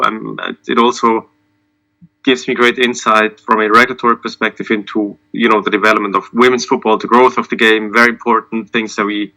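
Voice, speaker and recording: A man from Switzerland speaking as a panelist, giving an informal personal account in a halting, self-deprecating way. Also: fairly low pitch, sharp tone, rough recording. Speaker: panelist, sports lawyer.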